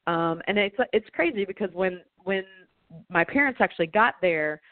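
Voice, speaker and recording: A woman's voice: medium pitch, lively intonation, normal speed, no echo. A woman interview guest recounting her own experience in the first person, often repeating phrases as she searches for words. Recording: tinny narrow phone-like sound.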